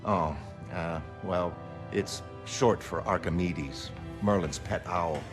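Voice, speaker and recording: Man playing low-voiced, wistful retired hero. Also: takes it slowly, music in the background. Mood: wistful, nostalgic. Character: earnest, wistful middle-aged male retired costumed hero. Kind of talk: dramatic comic-book reading.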